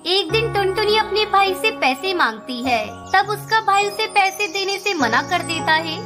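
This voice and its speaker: A woman narrates a children's cartoon story from a script. Her voice is extremely high-pitched. Clear music plays underneath. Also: expressive, normal speed.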